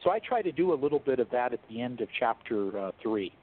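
A man speaking as a guest theologian in an interview, explaining in a measured, thoughtful, scholarly way over a thin phone-like line. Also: mid-range voice, big pitch swings, slight background noise. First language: English.

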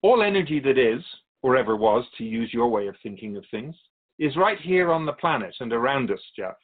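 A man playing a patient, wise, otherworldly Keeper of Souls who teaches in a measured, philosophical way in a radio drama. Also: medium pitch, sing-song, narrow, tinny audio. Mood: calm, expansive. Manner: measured, philosophical, gently instructive. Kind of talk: scripted radio drama dialogue.

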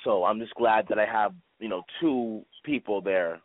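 A man's voice: on the low side, normal speed, no echo. A man who acts on stage, answering as an interview guest in a casual, appreciative, conversational way. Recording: dry, phone-like band-limited line.

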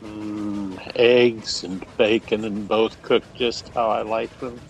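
A man's voice: on the low side, little pitch movement, slow, dull audio, slight background noise. A man playing a wry, jovial older husband who likes to needle, in an audio fantasy drama. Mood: pleased.

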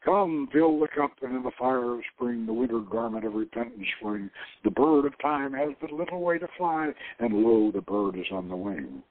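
A man's voice: medium pitch, expressive, normal speed, pitched below the performer's own voice, natural voice. Man reading as flirtatious man, phone-like sound. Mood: romantic, amused. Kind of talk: dramatic reading of an adventure novel. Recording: narrow, tinny phone-like radio line.

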